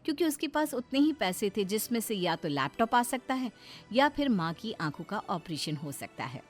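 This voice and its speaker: A woman's voice: mid-range voice, big pitch swings, normal speed, soft background music. A woman giving scripted narration of a story.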